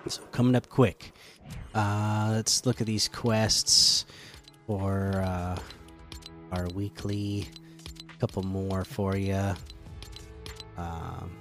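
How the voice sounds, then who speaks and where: A man hosting a casual gaming podcast, chatty and relaxed with lots of fillers, slightly hoarse from a cold. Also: on the low side. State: catching a cold, losing voice. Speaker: podcast host.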